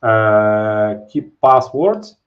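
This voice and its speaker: A man's voice: lowish voice, expressive, very slow. A man in his thirties with a Polish accent, presenting a live technical webinar from home over a webcam, explaining casually with many fillers and tag questions. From Poland. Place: home office, indoors. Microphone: webcam video call. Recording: dull, lo-fi stream audio.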